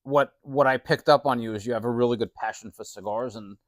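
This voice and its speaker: A man's voice: mid-range voice, fairly quick, no echo. A man in his late forties, a shop owner, chatting casually as a guest in a plain-spoken, friendly and earnest way. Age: late 40s. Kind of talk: casual chat.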